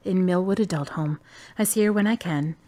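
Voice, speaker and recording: A woman's voice: on the low side, brisk, dark tone, close to an everyday voice. An actress playing a frank, playful divorced woman in a radio drama, talking in a teasing, direct way.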